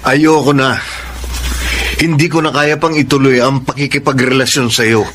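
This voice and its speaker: An actor playing an earnest, dramatic young boyfriend with a torn heart in a Filipino radio drama. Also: male voice, mid-range voice, steady tone, normal speed, fairly bright tone, a little hiss or hum, natural voice. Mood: apologetic, firm. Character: torn young boyfriend still in love with his ex.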